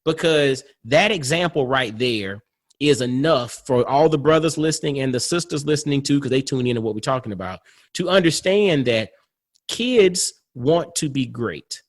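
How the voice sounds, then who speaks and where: A man's voice: mid-range voice, animated, normal speed. A man in his forties hosting a conversational motivational podcast interview, speaking in an earnest, affirming and emphatic way. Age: 40s. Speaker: podcast host.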